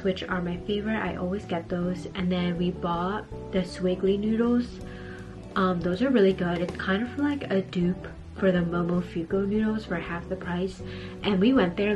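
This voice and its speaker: A young American woman talks to camera in a casual, chatty vlog style. Her voice sits at an ordinary middle pitch, and she speaks conversationally, as if to friends. Music plays clearly behind her. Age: young adult. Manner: conversational, frequent "like" and "honestly". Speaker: vlogger. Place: small indoor rooms at home, bedroom. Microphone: camera at arm's length or on a desk. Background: background music.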